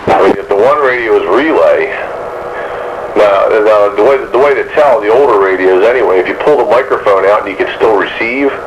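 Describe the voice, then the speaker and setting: A man's voice: fairly low pitch, expressive, brisk. A man talking casually over CB radio, explaining old equipment in a rambling way. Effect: CB radio transmission. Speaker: CB radio operator. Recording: noisy CB radio reception.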